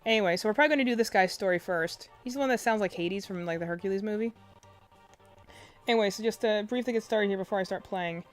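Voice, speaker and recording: Young woman streamer, soft and quiet, rambly filler-heavy chat, faint music behind. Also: mid-range voice, quick pace. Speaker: game streamer. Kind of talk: casual live-stream chat. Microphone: desk mic close to face.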